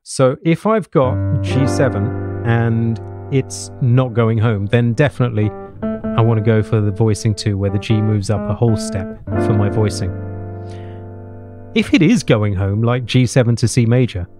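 A middle-aged man teaches piano informally, with piano notes sounding clearly behind him. His voice sits at an average pitch, close on a studio mic. He explains as he goes, thinking aloud and tossing in short questions to check understanding. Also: dark tone, dry recording. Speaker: piano teacher, host of online jazz lessons. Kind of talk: informal spoken music lesson, thinking aloud while demonstrating. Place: small home study with bookshelf, indoors. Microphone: studio mic on boom arm close to the mouth. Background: piano playing.

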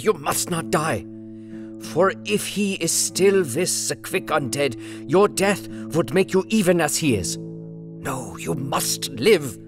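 A man voicing an elderly, fatherly doctor who speaks slowly and solemnly in a dramatized audiobook. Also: fairly high pitch. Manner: slow, solemn and impassioned.

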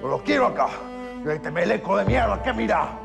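An actor with a Rioplatense accent playing a proud, desperate gaucho locked up, swinging between soft comfort and furious threats. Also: male voice, medium pitch, a little slow, vocal fry, over music. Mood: raging. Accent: Rioplatense Spanish. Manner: swings from soft consoling to shouting rage. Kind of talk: drama acting.

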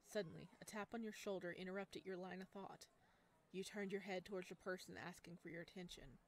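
A woman's voice: lowish voice, fairly even intonation, fairly quick, slight background noise. A woman streaming a game, reading its story text aloud and adding casual commentary. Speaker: streamer playing a visual novel. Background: game music.

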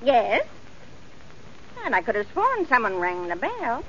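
High, lively sympathetic housemaid. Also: female voice, measured pace, full character voice. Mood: concerned. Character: fussing, sympathetic female housemaid. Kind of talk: 1940s radio sitcom acting. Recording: dull, noisy radio recording with audience.

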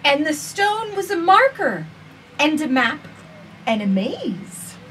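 A woman in her forties reading a picture book aloud for children with expressive, sing-song phrasing, recorded outdoors on a porch. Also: high-pitched, slow. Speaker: children's storytime reader. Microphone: camera a few feet away. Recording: distant camera mic, faint outdoor noise.

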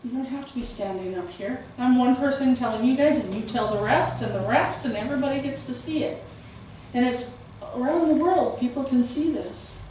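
A middle-aged woman preaching a sermon in a small church room, with a big, carrying voice and lively gestures, recorded without a microphone. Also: high voice, moderate pace, a little hiss or hum. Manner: loud voice that carries, animated and gesturing. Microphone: far camera, no microphone. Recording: very echoey room, voice heard from a distance.

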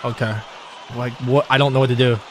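A man giving casual, excited gameplay commentary in a slangy, exclamatory way. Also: medium pitch, brisk, a faint crowd, slight background noise. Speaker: gaming video host, player.

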